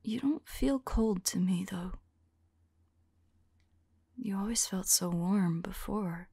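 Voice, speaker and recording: A woman playing a tender, anxious centuries-old female vampire lover in an intimate, whispered ASMR audio roleplay, speaking softly and haltingly. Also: mid-range voice, dry recording, full character voice. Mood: curious, puzzled. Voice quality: breathy, whispery. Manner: halting, soft, musing to a loved one.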